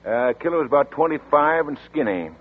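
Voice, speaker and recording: A brisk young sheriff. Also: male voice, a little high, lively intonation. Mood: matter-of-fact. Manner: brisk, matter-of-fact briefing. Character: efficient young country sheriff. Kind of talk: 1940s radio acting. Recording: tinny old radio broadcast.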